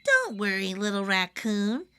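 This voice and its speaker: A woman's voice: medium pitch, huge pitch swings, unhurried, sharp tone, smooth, full character voice. A woman voicing a kind, confident little boy bear cub in a children's storybook, reassuring and upbeat.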